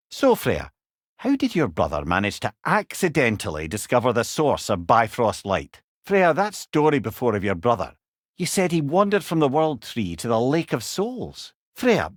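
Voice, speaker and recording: A man's voice: on the high side, dry recording, studio quality. A man voicing a witty, wise old storyteller in a video game, with a Scottish accent and an eloquent, wry, conversational manner. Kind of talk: dramatic video game dialogue.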